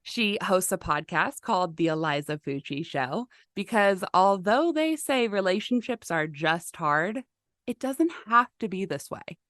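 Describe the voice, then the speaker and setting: A woman's voice: medium pitch, lively intonation, unhurried, fairly bright tone, no echo, studio quality. A woman hosting a podcast, reading a guest introduction and prompting her guest with short, encouraging replies. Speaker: podcast host. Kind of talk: scripted guest introduction and interview.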